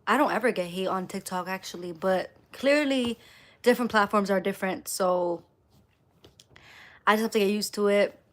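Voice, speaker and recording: Female live streamer, medium-pitched, casual motivational delivery, emphatic with repeated phrases. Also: normal speed. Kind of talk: casual motivational livestream talk to viewers.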